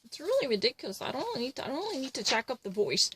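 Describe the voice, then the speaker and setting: A woman's voice: high voice, sing-song, rapid-fire, a bit bright, breathy edge, no echo. A woman ranting to camera in an indignant, repetitive way, filling her sentences with "actually" and "you know". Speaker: vlogger.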